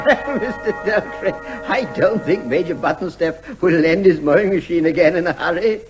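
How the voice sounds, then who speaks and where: An English actor playing a cheeky, unflappable out-of-work performer posing as a neighbour in a comic radio drama, jaunty and wryly genteel. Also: male voice, high voice, lively intonation, normal speed, music in the background. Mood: breezy, wry.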